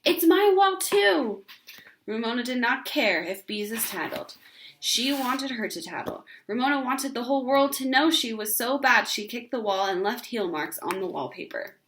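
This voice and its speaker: High-voiced woman reading a children's story. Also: moderate pace, fairly bright tone, a little hiss or hum. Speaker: read-aloud storyteller. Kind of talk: expressive children's book narration.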